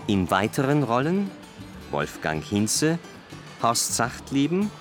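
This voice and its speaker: A man reading radio drama credits as a scripted, deliberate announcement. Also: medium pitch, wildly swooping pitch. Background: music.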